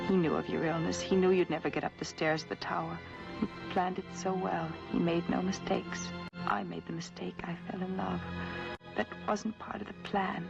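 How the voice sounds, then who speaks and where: An old film soundtrack, dull, with music behind a young American woman's slightly creaky voice. a defensive, wounded shopgirl in 1950s Hollywood style, colloquial and full of feeling. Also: fairly low pitch. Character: guarded, wounded young American shopgirl, brusque but yielding.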